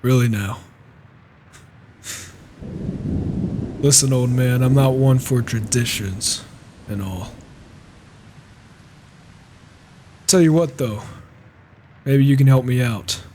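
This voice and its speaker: A man's voice: mid-range voice, moderate pace, a little mellow, close to an everyday voice. Sardonic wandering warrior roleplayed by a man. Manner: casual, sardonic. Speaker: roleplaying gamer. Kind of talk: in-character game roleplay. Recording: faint noise, flawed microphone.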